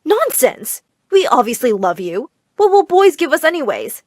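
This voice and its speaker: A woman's voice: sits high, sing-song, moderate pace, no room sound, studio quality, pitched above the performer's own voice. A woman voicing a middle-aged mother who dotes on her daughter and screeches bossy, melodramatic demands. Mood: shrill. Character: shrill doting overbearing mother, middle-aged.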